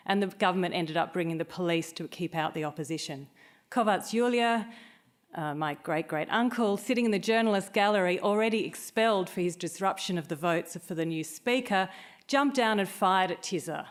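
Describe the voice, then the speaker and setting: A woman with a slightly low voice speaks as an academic at a conference. She delivers a prepared talk in a narrative style, like someone telling a story to colleagues.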